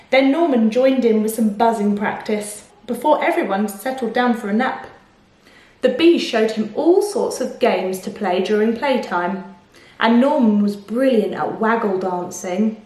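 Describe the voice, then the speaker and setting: A woman reading a picture book aloud to children, expressive, with explanations and questions to the listener. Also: fairly high pitch. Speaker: children's storyteller. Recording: echoey room.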